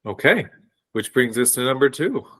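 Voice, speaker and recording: A man's voice: medium pitch, very animated, moderate pace, sharp tone, slightly rough. A man co-hosting a casual podcast, reacting and prompting in an agreeable, curious way. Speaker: podcast co-host.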